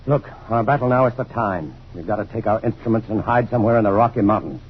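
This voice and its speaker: Man acting a determined scientist, brisk, resolute, mid-pitched, vintage sci-fi radio drama, muffled recording with clear noise. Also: lightly acted. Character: earnest, determined male scientist.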